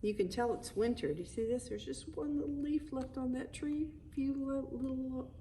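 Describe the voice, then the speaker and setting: A woman reading a picture book aloud in an expressive, animated way, adding chatty asides for young listeners. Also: high voice, moderate pace. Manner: animated, emphatic storytelling with conversational commentary. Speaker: storybook reader. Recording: distant mic with audible background noise.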